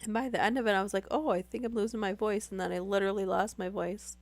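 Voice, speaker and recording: A woman's voice: medium pitch, steady tone, fast, dry recording. A young woman chatting casually with live viewers while losing her voice to a sore throat, rambling with many fillers. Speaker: streamer.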